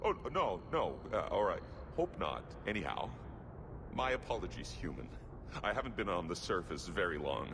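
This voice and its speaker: A man's voice: low voice, very animated, moderate pace, noisy background. An actor playing a nervous, timid male dwarf merchant and smuggler in a fantasy video game, flustered, polite and evasive. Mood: startled, flustered. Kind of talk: video game cutscene acting.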